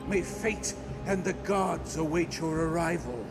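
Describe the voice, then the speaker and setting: A man's voice: a little high, lively intonation, measured pace. An actor playing a solemn, cold middle-aged warrior king and father in a video game, with stately, declamatory, archaic speech. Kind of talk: dramatic video game voice acting. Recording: loud music under the voice.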